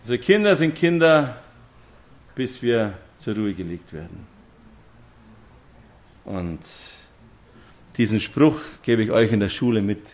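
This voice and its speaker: A man's voice: fairly low pitch, animated, takes it slowly, long pauses, darkish tone. A man, preaching a sermon to a congregation and telling stories with direct questions to his listeners. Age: 50s.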